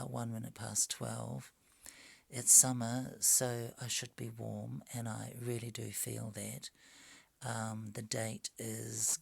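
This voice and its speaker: A quiet, soft-spoken man muttering to himself at home, grumbling mildly and trailing into whispers. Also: medium pitch, gravelly, breathy edge, no room sound. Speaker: language video maker. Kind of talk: casual talking to himself while working. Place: home at night. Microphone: phone.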